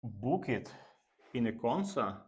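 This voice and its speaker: Slow, halting male finance instructor. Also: medium pitch, big pitch swings, a little mellow. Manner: halting, deliberate delivery in short phrases. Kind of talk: explanatory lecture.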